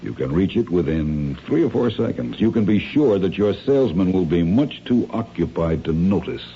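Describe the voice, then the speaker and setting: A smooth, calculating villain with a very dark, low voice. He speaks in a measured, formal, condescending way with cool menace, as in a radio mystery. The recording is dull and hissy. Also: big pitch swings. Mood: calmly instructing. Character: smooth, calculating male crime schemer, coolly menacing. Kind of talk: radio mystery drama acting.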